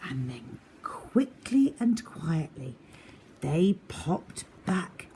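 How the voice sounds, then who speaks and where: A woman reading a children's story as narrator, dramatic and expressive, often dropping into a hushed tone. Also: deep voice, drawn-out words, slight background noise.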